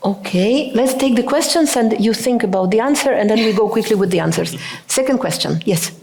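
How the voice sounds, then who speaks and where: A woman moderating a conference panel, briskly keeping time and pushing for quick questions. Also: fairly high pitch, lively intonation, creaky, a little mellow. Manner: brisk, keeps time, lightly joking. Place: conference room. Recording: echoey conference room.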